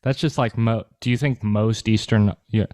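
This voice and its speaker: A man's voice: lowish voice, fairly even intonation, normal speed, a little mellow, dry recording, clean studio sound. A man co-hosting a casual podcast, rambling and swearing often. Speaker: podcast co-host.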